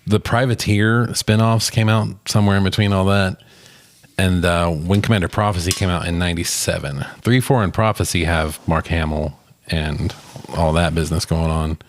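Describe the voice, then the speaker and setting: A man's voice: sits low, fairly even intonation, moderate pace. A man co-hosting a casual movie discussion, swearing freely and often chuckling. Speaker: podcast co-host reviewing films.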